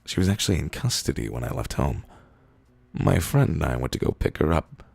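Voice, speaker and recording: A man reading written internet posts aloud in a deadpan, comedic way, with a gravelly, husky voice and drawn-out pauses. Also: rumbling low, no room sound. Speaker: reader of internet copypasta posts.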